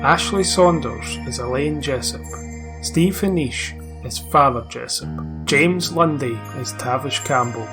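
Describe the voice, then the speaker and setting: A man reading out scripted end credits for a drama series. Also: fairly high pitch. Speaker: credits announcer.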